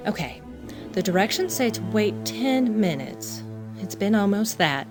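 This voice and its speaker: A proud, wounded rich man's daughter in an audio drama. She speaks at medium pitch, curt and tense. Faint music plays behind her. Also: sing-song, unhurried. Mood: anxious.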